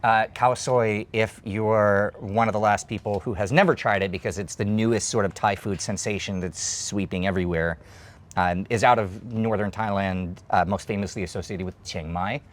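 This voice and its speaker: A man's voice: on the low side, steady tone, moderate pace. A man in his thirties hosting a food show, chatting and explaining dishes while eating at an open-air stall, on a lapel mic. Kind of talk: casual food chat and explainer.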